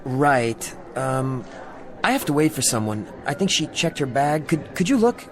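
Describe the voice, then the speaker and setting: Earnest, expressive male traveller. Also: mid-range voice, a little slow. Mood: hesitant, kind. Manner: earnest, hesitant, concerned. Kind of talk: radio drama acting.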